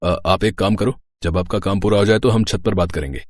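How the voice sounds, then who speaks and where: A man's voice: low voice, fairly even intonation, brisk, a little mellow. A man playing a mischievous young village brother-in-law in a storybook tale, teasing and pleading. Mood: pleading, earnest. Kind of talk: storybook acting.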